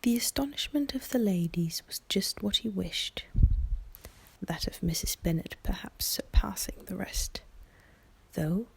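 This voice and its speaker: A woman reading an audiobook in soft ASMR style, in a hushed, breathy whisper with a gentle delivery. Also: mid-range voice, animated, unhurried, rough recording. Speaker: audiobook reader.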